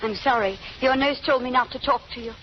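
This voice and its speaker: A woman's voice: fairly high pitch, brisk, lightly acted. A woman playing an earnest, anxious young fugitive in an old radio drama, polite and pleading. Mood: nervous, polite. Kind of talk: 1930s-40s radio drama acting. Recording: muffled, noisy old radio recording.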